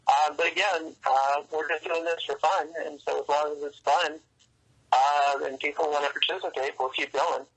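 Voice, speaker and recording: Male interview guest, bright forward voice, halting explanatory delivery, telephone-style sound. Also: a little high, fairly even intonation, low-quality recording. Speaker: interview guest, comics writer and news site publisher. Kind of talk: interview conversation.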